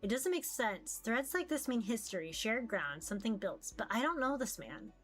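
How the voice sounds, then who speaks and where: A woman's voice: on the high side, very animated, normal speed, a bit bright, faint music behind. A woman streamer reading a visual novel aloud as narration and commenting casually as she plays.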